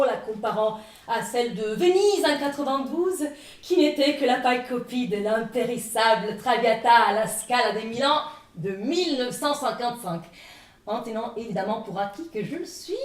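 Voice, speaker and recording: A woman from northern Italy with an Italian accent, performing a dramatic, comic first-person theatrical monologue. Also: on the high side. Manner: dramatic, expressive delivery. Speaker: stage actress performing a one-woman show. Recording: echoey room, distant microphone.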